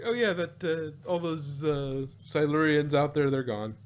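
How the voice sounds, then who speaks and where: A man co-hosting a review podcast in casual, unscripted chat, rambling and often rephrasing himself with animated asides. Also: on the high side, a little slow, slight background noise. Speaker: podcast co-host reviewing audio dramas.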